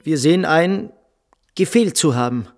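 An actor in a radio play reading out statements in a formal, official manner. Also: male voice, on the high side, expressive, a little slow, soft background music. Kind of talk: scripted radio play acting.

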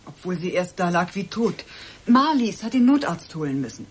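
An actress in a German radio play voicing an anxious, well-meaning friend, pleading and conciliatory, with a husky voice. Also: female voice, lowish voice, sing-song, moderate pace, heavily acted. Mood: soothing.

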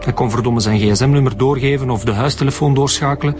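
An actor playing a cynical, bitter comedian son with a Flemish accent and a mocking, defiant manner in a radio drama. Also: male voice, mid-range voice, brisk, music in the background, natural voice. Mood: bitter, scornful.

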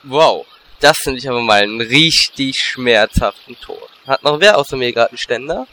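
A flippant, lewd fantasy spellcaster, acted by a man, from a comic audio play. His voice is sharp and animated, with a little noise in the background. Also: mid-range voice, moderate pace. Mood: cheeky. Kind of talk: comic audio drama acting.

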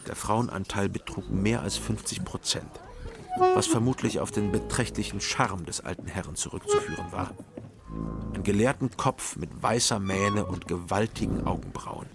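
Low, gravelly young detective. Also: male voice, over music. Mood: dry, wry. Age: young adult. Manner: dry, measured, literary narration. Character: dry, observant young male private detective narrating his case. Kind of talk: radio crime drama narration and dialogue.

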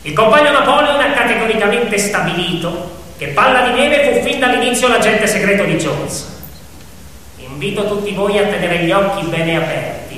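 A man's voice: high-pitched, pushed and bright. A man on stage voicing a propagandist pig orator, sly and solemn, who addresses comrades in a declamatory, accusing and insinuating way. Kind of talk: theatrical monologue addressed to comrades. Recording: very echoey hall, distant mic, faint noise.

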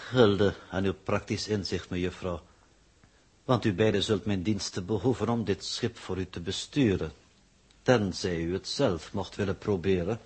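A man in a radio drama playing a cold, uncannily calm coordinator with a pedantic, formal, condescending manner. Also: low-pitched, moderate pace, slightly rough. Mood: coolly commanding. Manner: pedantic, formal, coolly superior. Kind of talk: 1960s radio drama acting.

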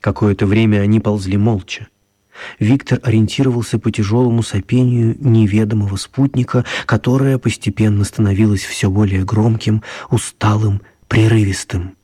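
Husky, mellow male story narrator. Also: fairly low pitch, steady tone, moderate pace. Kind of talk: expressive scripted story narration.

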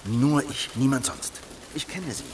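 An actor playing a calm, resolute leader of a secret heretical circle in a German radio drama, speaking with assured persuasion. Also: male voice, medium pitch, unhurried, noisy background, natural voice.

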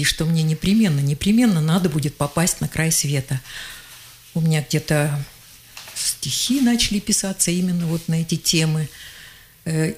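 Older woman telling lively stories. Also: sits low. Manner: lively anecdotal storytelling, acts out quoted voices. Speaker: guest, journalist and geologist. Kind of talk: radio interview storytelling.

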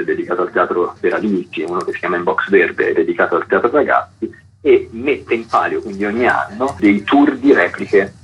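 A man's voice: low voice, a bit bright. A man, explaining his work as a guest festival coordinator in a radio interview, on dull, noisy call-in audio.

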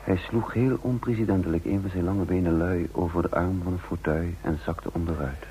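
An actor playing an uneasy impostor agent in an old radio drama, narrating his intrigue in a deliberate, hushed manner. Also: low voice, steady tone, slight background noise, natural voice. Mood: reflective narrating. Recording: muffled old radio play recording.

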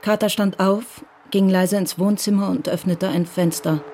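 A woman's voice: medium pitch, steady tone, moderate pace, a little mellow, slight background noise. A woman reading scripted literary narration for a German radio crime drama.

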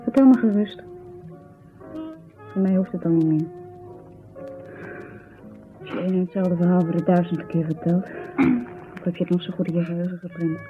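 An actress playing a distraught, bitter wife who recounts her story in an agitated, halting way, in naturalistic radio drama style. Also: lowish voice, lightly acted. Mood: weary, bitter. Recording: thin phone-like 1970s radio, music behind.

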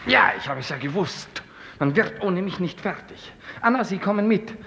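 An actor playing a tyrannical, capricious director-general in a pompous, theatrical 1950s German radio drama manner. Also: male voice, high voice, lively intonation, moderate pace, creaky, sharp tone, heavily acted. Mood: impatient. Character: tyrannical, capricious, self-important male director-general ruler. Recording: noisy, muffled vintage radio recording.

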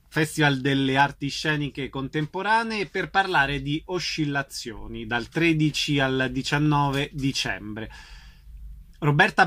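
A man's voice: medium pitch, sharp tone. A man hosting a radio programme, putting questions to guests and introducing items. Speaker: radio host, interviewer.

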